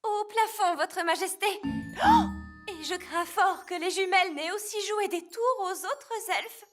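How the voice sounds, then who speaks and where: A woman's voice: extremely high pitch, animated, unhurried, soft background music. A woman voicing a respectful, fussy and apologetic fairy nanny in a French cartoon.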